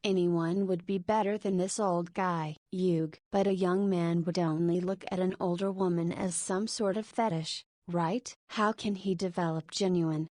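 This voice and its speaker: A woman's voice: fairly low pitch, steady tone, a little slow, few pauses, darkish tone, no echo, clean studio sound, close to an everyday voice. A woman voicing a wistful single mother in her forties. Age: 40s. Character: 40-year-old single mother, warm but self-conscious.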